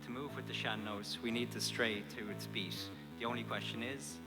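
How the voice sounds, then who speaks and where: Low-voiced man over loud guitar. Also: steady tone, creaky, rough recording. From Ireland. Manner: slow, reflective, low-key delivery. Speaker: narrator. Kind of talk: scripted poetic narration. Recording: loud guitar music under the voice. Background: guitar music.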